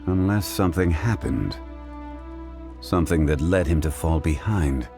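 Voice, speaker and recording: A man playing a calm, learned gentleman in a video game, speaking in a measured, formal, pensive manner. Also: very deep voice, vocal fry, full character voice. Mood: serious. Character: calm, learned, dignified gentleman consultant of ancient wisdom. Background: game music.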